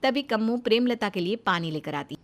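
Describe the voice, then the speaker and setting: A woman storyteller reads a scripted moral tale. Her voice is fairly high, with lively, wide movement in pitch. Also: brisk.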